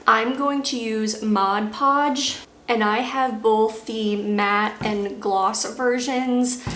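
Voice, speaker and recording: A woman with a slightly hoarse voice from a cold, calmly explaining a craft project step by step. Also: mid-range voice. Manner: calm, unhurried step-by-step explaining. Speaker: craft vlogger. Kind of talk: casual tutorial voice-over. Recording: roomy echo.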